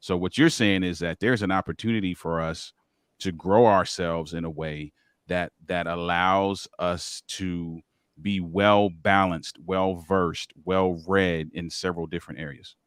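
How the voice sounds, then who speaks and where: A male podcast host who asks energetic questions, restarting his words often and summing up his guest's points. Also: deep voice, a little slow, clean tone, no room sound, clean studio sound. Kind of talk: interview hosting.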